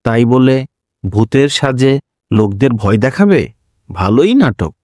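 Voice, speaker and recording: A man's voice: mid-range voice, big pitch swings, measured pace, a little mellow, no echo, studio quality. A man playing a cheeky, bold-talking young detective in a cartoon drama. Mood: wry.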